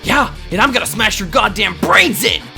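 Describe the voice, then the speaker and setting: A man voicing a battered, foul-mouthed teenage boy superhero in a comic book dub, excitable and dramatic. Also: high voice, moderate pace, vocal fry, soft background music, full character voice. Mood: furious.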